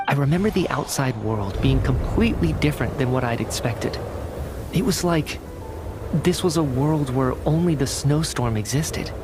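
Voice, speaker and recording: A man acting as an earnest young man in a game cutscene, speaking at a middle pitch. He tells his tale like a lost soul, measured and dramatic, with music playing underneath. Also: natural voice.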